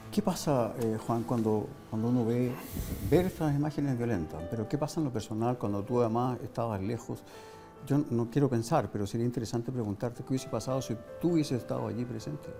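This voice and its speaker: A man's voice: medium pitch, a little fast, vocal fry, music in the background. A man asking conversational interview questions, tentatively rephrasing as he goes.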